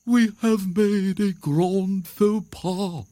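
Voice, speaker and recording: A man's voice: fairly high pitch, expressive, takes it slowly, warm tone, no room sound, studio quality, voice pushed up. A man voicing a solemn, diplomatic storybook owl with an earnest manner.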